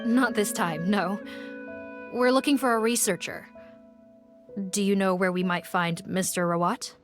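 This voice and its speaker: A woman voicing a brisk, formal police officer. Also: medium pitch, steady tone, music in the background, natural voice. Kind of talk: video game voice acting.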